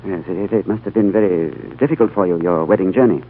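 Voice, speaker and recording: An actor as a low-voiced, earnest diplomat. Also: male voice, fast, slight background noise, close to an everyday voice. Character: thoughtful male British diplomat narrating his tale. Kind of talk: 1930s radio drama acting and narration. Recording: old phone-like radio broadcast, faint music.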